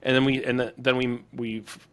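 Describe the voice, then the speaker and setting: A man's voice: medium pitch, flat delivery, fairly quick, a bit bright. A man hosting a podcast interview, affirming his guest and reflecting on the lessons in a thinking-aloud way. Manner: affirming, reflective, talks in restarts while building a point. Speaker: podcast host.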